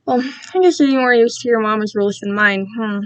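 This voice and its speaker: A woman's voice: a little high, brisk, smooth, close to an everyday voice, low-quality recording. A woman voicing a condescending male captor in a story, cooing and patronizing as he keeps control. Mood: smug, patronizing.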